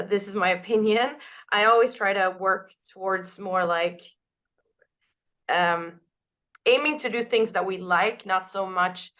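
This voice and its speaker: A woman singer with a naturally raspy voice, answering interview questions in a loose, candid way, full of "like" and "you know". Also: mid-range voice, pushed and bright. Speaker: band singer.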